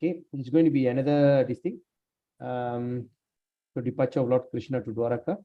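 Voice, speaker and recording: A man leading a scripture class, speaking haltingly with many fillers and restarts while he has lost his voice. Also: mid-range voice, steady tone. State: lost his voice, sprained neck. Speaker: class leader. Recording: dull lo-fi room recording.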